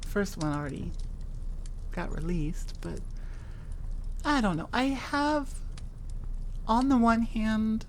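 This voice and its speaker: Woman, channel host, casual outro chat, rambling, self-correcting, slow-paced. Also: a little high, slight background noise. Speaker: audio creator and host.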